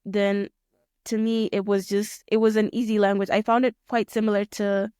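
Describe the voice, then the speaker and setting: A young woman from Kenya answering interview questions in a relaxed, thoughtful way, often rephrasing mid-sentence with plenty of fillers. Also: medium pitch, normal speed, clean tone, no echo, studio quality. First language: Swahili. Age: young adult. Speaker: guest, pilot student.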